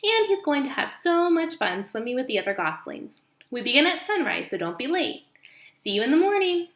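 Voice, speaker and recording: A woman's voice: sits high, very animated, fairly quick, a bit bright, echoey room, voice pushed up, full character voice. A woman voicing a motherly old female goose in a storybook, cheerful, bossy and emphatic with her advice. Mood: breezy, reassuring.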